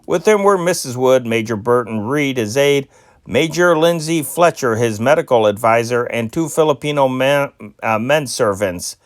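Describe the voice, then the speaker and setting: A man reading old newspaper stories aloud in a steady amateur style, with small slips and restarts. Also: mid-range voice, normal speed, no room sound, clean studio sound. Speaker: newspaper reader.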